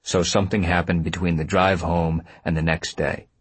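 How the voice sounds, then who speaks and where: A man's voice: low voice, level tone, moderate pace, breathy edge, no echo. A man narrating a story, playing a patient but stubborn man who speaks directly and steadily. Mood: calm, insistent. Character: calm, stubborn, patient man pressing for answers.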